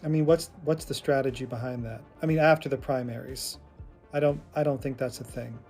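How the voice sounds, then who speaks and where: A man's voice: mid-range voice, fairly even intonation, a little fast, a little mellow, soft background music. A man giving casual political reaction commentary in a condescending way.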